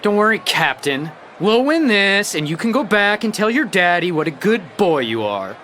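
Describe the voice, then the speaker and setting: Vain cartoon brawler, smug, sardonic, swooping intonation, echoey room. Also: male voice, high voice, sing-song, normal speed, pushed and bright, slight background noise, heavily acted. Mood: mocking.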